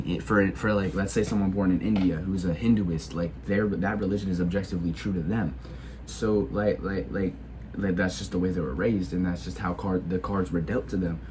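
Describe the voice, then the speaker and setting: A teenage boy speaks in a low register, audibly sick. He talks haltingly and keeps restarting his sentences with filler words. A faint background noise sits under his voice. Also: a little mellow, poor audio. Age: teens. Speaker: video creator. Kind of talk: casual opinion monologue.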